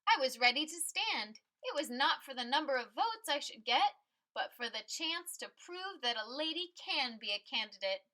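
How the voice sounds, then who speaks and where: A woman reads a picture book aloud in a fairly high, bright voice. Her delivery is expressive and forceful. She phrases dramatically and puts clear emphasis on key words. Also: moderate pace. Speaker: read-aloud storyteller.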